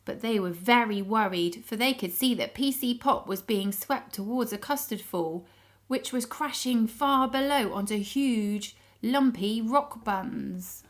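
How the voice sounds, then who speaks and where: A woman reading a story aloud to young children in an expressive storytelling style. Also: a little high. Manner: pauses to ask the child listeners questions.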